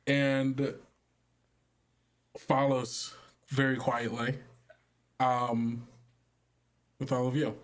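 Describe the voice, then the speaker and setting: A man's voice: mid-range voice, flat delivery, very slow, long pauses. A man working as game master, narrating an improvised tabletop game in a casual, hesitant, conversational way.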